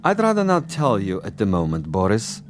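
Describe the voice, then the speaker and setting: A man's voice: lowish voice, huge pitch swings. An actor in a radio drama playing a smug, cultured commissar who savours his words as he toys with his prey. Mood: smugly teasing. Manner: measured, suave, theatrically savouring his words. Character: smug, cultured, cunning male secret-police commissar toying with his prey. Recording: radio drama with music underneath.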